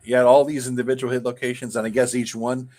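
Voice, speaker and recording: A man's voice: medium pitch, little pitch movement, fast talker, a little mellow. A man co-hosting a gaming livestream who laughs often and swears casually. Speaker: livestream co-host.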